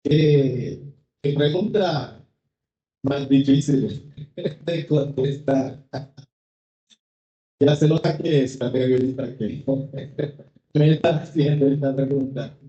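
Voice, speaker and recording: A Haitian singer in his fifties, whose first language is Haitian Creole, answers audience questions slowly and carefully, searching for words in a second language. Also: medium pitch, dark tone. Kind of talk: live public Q&A. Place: cultural venue hall with an audience. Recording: echoey, dull hall sound.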